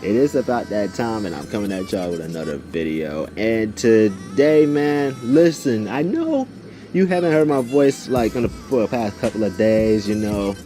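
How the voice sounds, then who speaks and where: A man's voice: lowish voice, moderate pace, faint music behind. A young man chatting casually in a slangy storytime style, often turning to address his listeners directly. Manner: slangy, animated, conversational asides to listeners. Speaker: YouTube storyteller.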